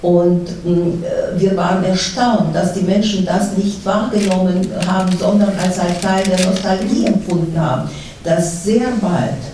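A middle-aged woman from Russia speaking with a Russian accent, a guest author at a panel talk who pauses often with fillers and restarts. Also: lowish voice, steady tone, warm tone. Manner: halting, many fillers and restarts. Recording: echoey hall from far off, faint noise.

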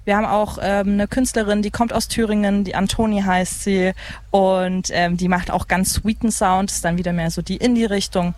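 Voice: mid-range voice, fairly even intonation, normal speed, darkish tone, no echo. A host in their thirties chatting casually live on radio from a festival, enthusiastic and often searching for words. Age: 30s. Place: open lounge area at a festival site. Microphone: broadcast microphone.

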